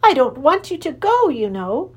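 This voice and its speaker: A woman voicing an exuberant, lovesick male creature king with antlers, who lisps and gushes in a high voice. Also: moderate pace. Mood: anxious. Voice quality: lisping. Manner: high, gushing, excitable. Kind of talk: storybook reading.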